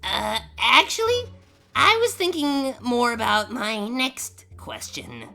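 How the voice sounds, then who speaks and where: A sheepish female pony, acted by a woman, talks in a bright voice with lively swings of pitch. She is slow and hesitant, nervously dodging, in a comic audio drama style. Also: vocal fry, soft background music, exaggerated voice. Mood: guilty panic. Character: sheepish, guilty young female pony roommate. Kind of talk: scripted comedy fan audio drama.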